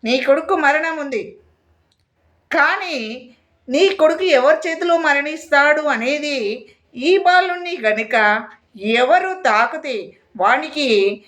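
A woman's voice: high-pitched, animated, slow, pauses often, fairly bright tone, pitched above the performer's own voice, heavily acted. A woman voicing a solemn celestial voice that makes reassuring, declaratory prophecies in a told epic. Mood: solemn, foretelling.

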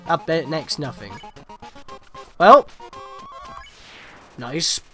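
A man's voice: a little high, expressive, drawn-out words, a bit bright, faint music behind. A young man hosting a let's play with casual, wry, conversational game commentary.

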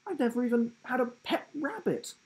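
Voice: medium pitch, expressive, moderate pace, darkish tone, voice pushed up, lightly acted. A storyteller voicing a bewildered young schoolboy who stammers and sounds unsure. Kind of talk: storybook character voice.

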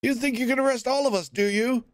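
A man's voice: very high voice, a little slow, a bit bright, dry recording, clean studio sound, voice pushed up. A man voicing a mocking, defiant man in a rebellious crowd who jeers at the guards. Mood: jeering. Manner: jeering and defiant.

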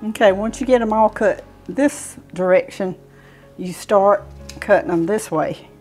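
Home cooking host: woman, sixties, Southern US accent, mid-range pitch, informal step-by-step delivery on a lapel mic. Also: measured pace, a little mellow, soft background music. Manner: folksy, explaining step by step while working. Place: home kitchen, indoors. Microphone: clip-on lapel mic.

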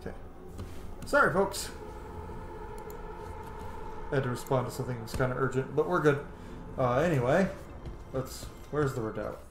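A man's voice: mid-range voice, expressive, moderate pace, pauses often. A man in his thirties giving casual gameplay commentary as a streamer. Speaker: game streamer. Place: small room indoors, webcam view. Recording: echoey room, clear background noise.

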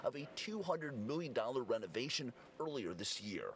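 A middle-aged man reporting live on the news from a large convention hall, speaking into a handheld mic in a steady broadcast manner. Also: mid-range voice, normal speed. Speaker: field reporter.

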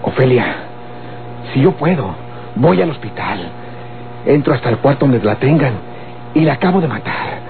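A man playing a callous, simple young Mexican country henchman in a radionovela, halting and matter-of-fact. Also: mid-range voice, lively intonation, close to an everyday voice. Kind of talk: Mexican golden-age radionovela acting. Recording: tinny old radio, faint hum.

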